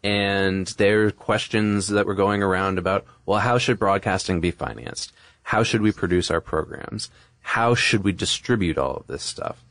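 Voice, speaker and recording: A man's voice: low-pitched, normal speed, fairly bright tone, no room sound, studio quality. A man co-hosting a podcast, explaining history in a casual, discursive, conversational way. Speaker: podcast co-host.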